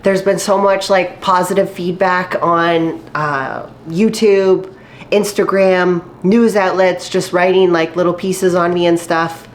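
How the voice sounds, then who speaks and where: A woman's voice: fairly low pitch, steady tone, takes it slowly, a little hiss or hum. A woman fitness vlogger talking casually while she cooks, sounding a little stuffy and nasal after a cold, upbeat and full of fillers. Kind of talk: casual chatty vlog while cooking. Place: home kitchen. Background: faint sizzling of frying food.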